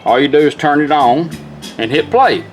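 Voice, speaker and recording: A man's voice: fairly high pitch, normal speed. A man giving a casual, folksy product demonstration as he walks through the controls of a device. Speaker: radio restorer demonstrating a product. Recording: music playing from a radio behind. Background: radio music with guitar.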